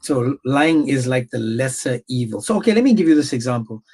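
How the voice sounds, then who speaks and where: A man's voice: mid-range voice, very animated, brisk, a little mellow, slight background noise. A man hosting a live online debate, pressing his point insistently and repeating himself as he talks over his guest.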